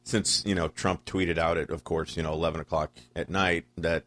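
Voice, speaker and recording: A man with a very low voice chatting bluntly on a talk show, cursing freely and cutting in often. The sound is dry and close to the mic. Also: flat delivery, a bit bright, no echo, faint music behind. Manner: blunt and profane, quick interjections. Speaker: podcast co-host. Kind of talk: casual political chat.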